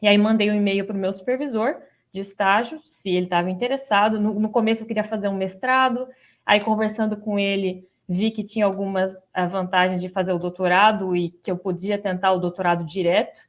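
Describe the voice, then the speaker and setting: A woman's voice: medium pitch, fairly even intonation, brisk. A young adult woman with a Brazilian Portuguese accent giving an informal personal account as a guest, repeating words for emphasis. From São Paulo state, Brazil. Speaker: guest, doctoral student.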